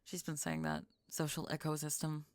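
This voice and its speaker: A woman playing a dry, stubborn teenage goth girl, deadpan and wryly teasing in an audio roleplay. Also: low-pitched, normal speed, no echo. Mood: teasing, challenging. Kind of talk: ASMR audio roleplay acting.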